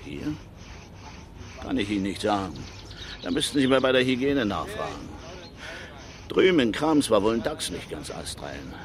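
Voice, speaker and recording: An actor playing a terse, folksy East German forestry worker, gruff and fond of teasing, in a 1970s radio crime drama. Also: male voice, fairly low pitch, sing-song, normal speed, long pauses, a crowd in the background. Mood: laconic. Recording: clearly noisy old radio play recording.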